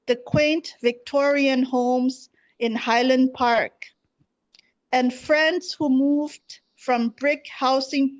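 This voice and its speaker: A woman poet at an open mic, speaking in a measured, deliberate manner over an online call. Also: high voice, dull audio. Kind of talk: poetry reading and brief casual remarks. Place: online video gathering.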